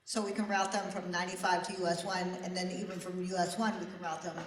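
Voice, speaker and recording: A woman on a panel explaining procedures informally in a slightly halting way. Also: lowish voice, level tone. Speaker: traffic center panelist. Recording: echoey meeting room.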